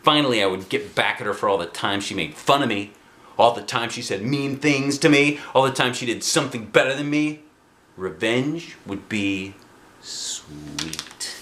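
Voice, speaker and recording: Animated man reading a children's story. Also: mid-range voice, moderate pace, reverberant. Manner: expressive, dramatic emphasis. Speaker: storybook reader, a dad. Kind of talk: animated children's book read-aloud.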